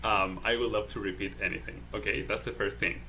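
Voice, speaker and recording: Male wellness coach with a Chilean accent, English not his first language, bright clear timbre, a slight hiss under the voice. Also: fairly low pitch, steady tone, a little fast. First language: Spanish. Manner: hesitant, says "okay?" often. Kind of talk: casual livestream interview.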